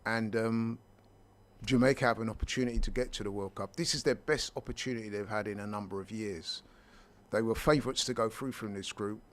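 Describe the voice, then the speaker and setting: A man co-hosting a casual sports chat, speaking in a measured, emphatic way and repeating words for stress. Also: on the low side, fairly even intonation. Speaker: sports pundit co-host.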